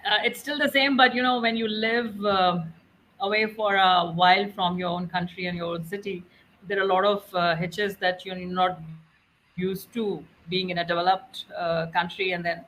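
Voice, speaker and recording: A woman's voice: on the low side, steady tone, normal speed, a bit bright, slight background noise, poor audio. A woman with a Pakistani accent moderating a conversational business talk show. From Karachi, Pakistan. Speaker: moderator, interview host.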